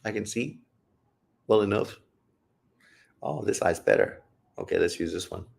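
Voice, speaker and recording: A deep-voiced man gives loose live commentary on a bout, cursing freely and cracking jokes between punches. His low voice stays casual and blunt as he shouts encouragement at the fighters and reads out viewer questions. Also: a little slow, creaky. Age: adult, old enough to say he got old. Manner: profane, blunt and joking. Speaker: livestream host reacting to a boxing fight.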